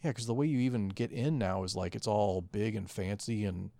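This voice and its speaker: A man's voice: fairly low pitch, fairly quick, vocal fry, warm tone, no echo. A man co-hosting a podcast in casual chat, rambling and swearing freely as he goes. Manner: rambling, profane. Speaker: podcast co-host.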